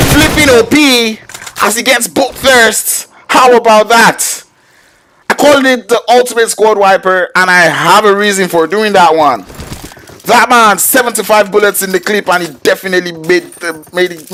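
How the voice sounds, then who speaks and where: A man, a Yoruba speaker, calling an esports match play-by-play in an excitable, slangy way. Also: sits high, normal speed. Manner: excitable, slangy, jokes with chat. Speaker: esports caster.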